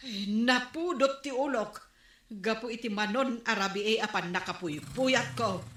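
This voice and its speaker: A woman's voice: fairly high pitch, a little slow, fairly bright tone, a little hiss or hum. An actress playing a fed-up woman neighbor who complains and scolds in a radio comedy drama. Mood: complaining. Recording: echoey room.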